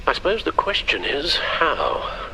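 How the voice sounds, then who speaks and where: An actor playing a loyal, worried butler and confidant in a video game, speaking formally and solemnly with careful wording. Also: male voice, a little high, wildly swooping pitch, a little slow, gravelly, bright, forceful tone, slight background noise, full character voice, poor audio. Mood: grave. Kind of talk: dramatic video game acting.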